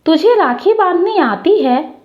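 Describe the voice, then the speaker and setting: A woman's voice: very high voice, expressive, unhurried, highs cut off. A woman voicing an affectionate, insistent young boy in a told story, childlike and earnest. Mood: eager.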